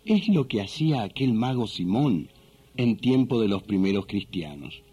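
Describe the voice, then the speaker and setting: A man narrating a scripted religious radio drama in a measured, didactic way. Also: on the low side, very animated, dark tone, husky, soft background music.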